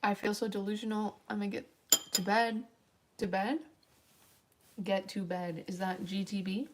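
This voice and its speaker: A young woman with a raspy voice, tired and a little worn at a late hour, chatting in a rambling, filler-heavy way as she answers her stream's chat. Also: mid-range voice, steady tone, normal speed, slight background noise. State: tired, voice wearing thin late at night. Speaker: livestreamer. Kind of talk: late-night casual stream chat, reading chat aloud.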